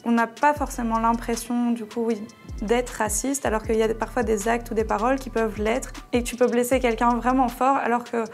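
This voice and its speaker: A woman's voice: on the high side, steady tone, fairly quick, gravelly, faint music behind. A woman in her early twenties talking frankly and informally in slangy in a casual group discussion. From Belgium, small town. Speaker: student panelist in a discussion.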